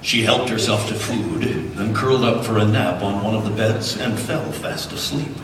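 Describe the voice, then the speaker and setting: A man's voice: on the low side, steady tone, normal speed. A man doing a playful, theatrical live storybook narration, recorded from far off in a big hall. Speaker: voice actor reading as narrator at a panel. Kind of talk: live cold read of a storybook script. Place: large indoor convention panel hall. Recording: echoey convention hall from far off, noisy crowd. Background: audience and room noise.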